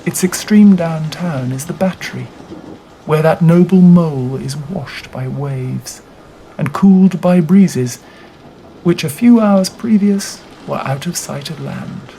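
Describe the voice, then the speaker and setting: A narrator reading a classic novel aloud in a measured, deliberate way with dramatic phrasing. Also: medium pitch, mellow, poor audio. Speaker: audiobook reader. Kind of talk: scripted literary narration. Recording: audible background noise.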